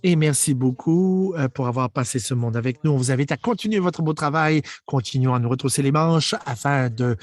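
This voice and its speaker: Man interpreting a panel, halting delivery. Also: mid-range voice. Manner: halting, breaks off and rephrases mid-sentence. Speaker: simultaneous interpreter. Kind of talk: live interpretation of an online panel.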